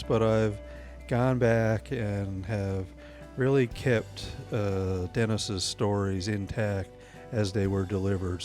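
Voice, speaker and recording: A man hosting a podcast and introducing his guest, speaking hesitantly with many filler pauses. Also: fairly low pitch, a little mellow. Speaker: podcast host and course instructor.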